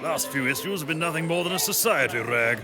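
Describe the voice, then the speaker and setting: An actor playing a taunting, blustering gentleman gambler heckling in a period audio drama. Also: fairly high pitch, expressive, fast talker, music in the background. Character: heckling male gambler, a goading tavern gentleman.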